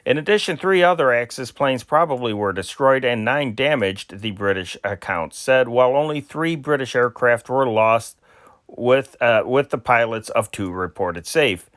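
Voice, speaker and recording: A man's voice: mid-range voice, lively intonation, moderate pace, fairly bright tone, dry recording, clean studio sound. A man reading old newspaper war dispatches aloud in an amateur style, often stumbling and restarting words. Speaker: news reader.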